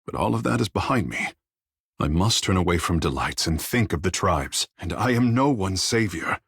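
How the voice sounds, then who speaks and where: Game role: young, self-regarding demigod artist; low husky voice, grand formal phrasing, close dry sound. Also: male voice, lively intonation, moderate pace, a bit bright, dry recording, exaggerated voice. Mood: vain, theatrical. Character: vain, theatrical young male demigod water shaper and artist. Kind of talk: video game character dialogue.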